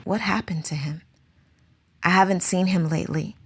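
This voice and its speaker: A woman's voice: fairly low pitch, normal speed, slightly rough. A woman playing an anxious, earnest circus teacher in a radio drama, asking question after question.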